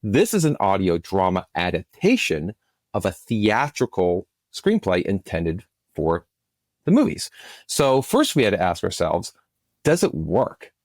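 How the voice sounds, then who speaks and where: A man's voice: deep voice, sing-song, unhurried, no room sound, clean studio sound. A man speaking as a producer and guest in an interview, explaining conversationally and often restarting his phrases.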